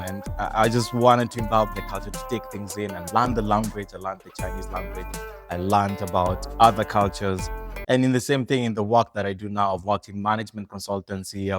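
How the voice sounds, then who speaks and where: Lively low-voiced podcast man. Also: brisk, music in the background. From Uganda. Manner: animated, laughing, repeats words as he starts. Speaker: podcast co-host. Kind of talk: casual conversation.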